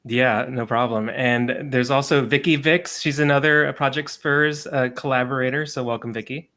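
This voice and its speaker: A man hosting a casual livestream over a video call, relaxed and chatty, with frequent ums and laughs. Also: medium pitch, normal speed. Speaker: livestream host and sports writer.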